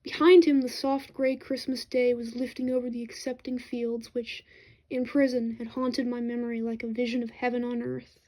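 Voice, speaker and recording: A woman reading a literary story aloud in an expressive, measured storytelling style. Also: a little high, rough recording. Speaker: audiobook-style reader of fiction.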